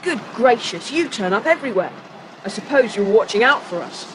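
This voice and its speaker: An actor playing a sensible, confident schoolboy who leads young adventurers in a children's audiobook drama, speaking briskly. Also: medium pitch, animated, noisy background, close to an everyday voice. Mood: brisk, intrigued.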